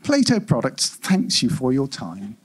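A man voicing a polite, implacable customer-service AI in a live story reading, with smooth scripted corporate patter. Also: mid-range voice, wildly swooping pitch, a little slow, pitched below the performer's own voice, full character voice. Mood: bland, implacable.

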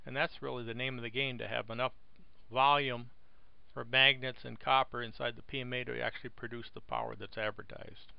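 Man, mid pitch, gravelly and smooth, dry close mic, slightly dull lo-fi sound, relaxed plainspoken explainer. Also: no echo. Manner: relaxed, plainspoken, with frequent "uh" pauses. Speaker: video host explaining wind turbines. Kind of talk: casual screen-recorded explainer.

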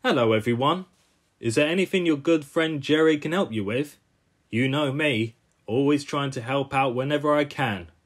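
A man's voice: mid-range voice, moderate pace, close to an everyday voice. A man voicing a cheerful, helpful, eager male jet plane in a cartoon style. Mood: friendly. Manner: bright and eager.

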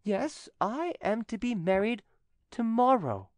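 A man acting a high-voiced, haughty widow. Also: animated, normal speed, a little mellow, no room sound, clean studio sound. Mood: triumphant. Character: proud, self-satisfied widow, haughty and scolding.

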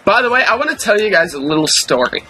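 Young streamer, bright animated chatter, teasing tone. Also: male voice, sits high, quick pace, faint music behind, poor audio. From Memphis. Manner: playful, teasing, swears while racing. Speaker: livestream co-host. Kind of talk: casual gaming livestream chat.

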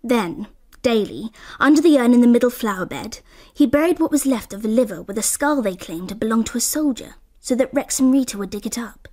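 A reflective female narrator in a radio drama, remembering a girlhood summer. Her voice is fairly high, soft and mellow, with a hushed, breathy grain. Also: lively intonation, a little fast.

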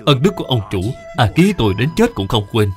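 A man voices an elderly servant in an animated folk tale. He is humble, loyal and determined, speaking with earnest, formal deference and lively intonation over music. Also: mid-range voice, fast, natural voice. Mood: humble, grateful.

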